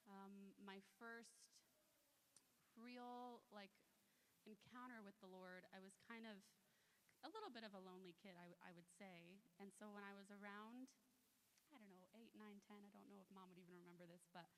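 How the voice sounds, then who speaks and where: A woman in her twenties from Lewis County tells her testimony in a casual, chatty way. Her pitch is mid-range for a woman. A faint hiss runs under her voice. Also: rough recording. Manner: casual, chatty, many "like" fillers. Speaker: guest speaker. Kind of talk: personal testimony. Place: church hall.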